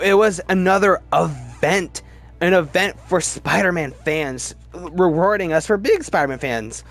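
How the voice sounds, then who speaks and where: A man's voice: fairly high pitch, a bit bright. A man giving casual, opinionated commentary as host of a film ranking video, with gushing fan enthusiasm and repeated words for emphasis.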